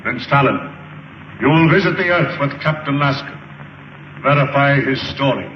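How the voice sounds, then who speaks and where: A man acting a formal, dignified official in an old sci-fi serial, speaking in measured phrases. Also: medium pitch, slight background noise, natural voice. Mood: solemn. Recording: echoey muffled old film soundtrack, music behind.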